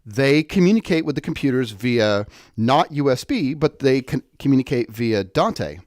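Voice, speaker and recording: A man with an average-pitched voice walks through a technical tutorial in a dry, close recording. He explains informally and off the cuff, often halting to think aloud and rephrase. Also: lively intonation, a little mellow, no echo. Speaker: product tutorial presenter.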